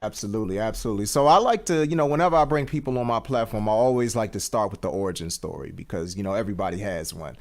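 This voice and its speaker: A man's voice: fairly low pitch, rapid-fire, few pauses, a little mellow. A man hosting a casual livestream show, effusive and given to repeating his greetings and thanks. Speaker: show host.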